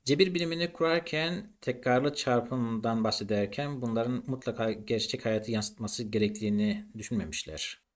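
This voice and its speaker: A man's voice: mid-range voice, moderate pace, few pauses. A man teaching a maths lesson in an explanatory, didactic way, posing questions and then answering them.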